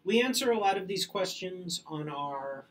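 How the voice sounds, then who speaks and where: A man co-hosting a live-streamed question-and-answer session, talking casually and haltingly with many "uh"s. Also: high voice, lively intonation, fairly bright tone. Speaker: company staff host answering questions.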